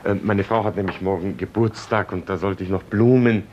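Actor as fussy, hesitant office supervisor, noisy recording. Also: male voice, on the low side, fairly quick, darkish tone, dull audio, natural voice. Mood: nervous, hurried. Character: fussy, nervous, evasive married male office supervisor. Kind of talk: 1950s radio play acting. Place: radio drama studio. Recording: noisy old 1950s radio recording.

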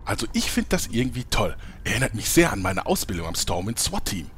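A man's voice: fairly low pitch, sing-song, fairly quick, fairly bright tone, slight background noise. A man playing an oblivious, self-important eccentric gamer in a comedy audio play, with a pompous, theatrical delivery. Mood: earnest enthusiasm.

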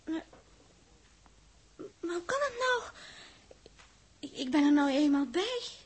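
An actress playing a naive, flighty young woman aboard a spaceship in a 1960s radio drama, girlish and halting. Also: extremely high pitch, big pitch swings, full character voice. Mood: puzzled, hesitant. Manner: hesitant, stammering, girlish.

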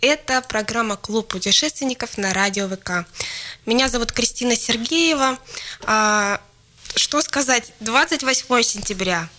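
A woman's voice: on the high side, unhurried, sharp tone. A woman hosting a radio talk show, speaking with a cold and laughing easily as she introduces her guest. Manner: friendly, laughs often, brief prompts. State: has a cold. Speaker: radio host.

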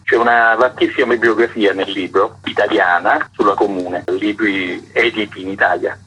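A man speaking informatively as an author and guest on a radio programme, with a husky, sharp-edged voice. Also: fairly low pitch, steady tone, normal speed, slight background noise, poor audio. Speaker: author presenting his book as radio guest.

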